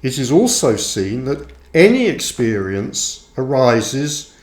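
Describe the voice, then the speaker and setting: Slow-reading man in a roomy space. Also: mid-range voice, big pitch swings, darkish tone. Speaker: author reading own book aloud. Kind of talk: audiobook-style scripted narration. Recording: roomy, echoing sound.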